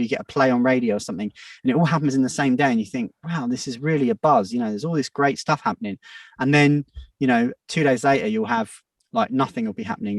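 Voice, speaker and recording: Gravelly, lively-voiced man in his thirties. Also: mid-range voice, dry recording, distant mic. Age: 30s. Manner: reflective, rambling with many restarts and fillers. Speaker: music producer and DJ guest. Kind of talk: casual podcast conversation.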